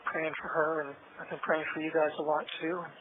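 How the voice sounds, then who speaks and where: A man speaking haltingly in a casual, personal phone conversation over a tinny line. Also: on the high side, level tone, background noise. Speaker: jail inmate on a call to his parents. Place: recorded jail phone call.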